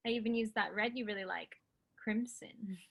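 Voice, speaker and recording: A woman acting a bold, excitable young amateur matador in a play reading, animated, breezy and chatty. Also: mid-range voice, moderate pace, vocal fry. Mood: affectionate. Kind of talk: staged play reading, conversational.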